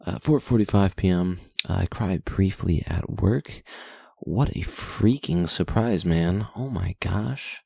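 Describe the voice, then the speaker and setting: A man's voice: deep voice, slow, creaky, hardly any pauses, darkish tone, slightly rough, dry recording. A young man casually reading his journal aloud late at night, rambling, swearing and putting himself down. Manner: profane, self-deprecating, rambling.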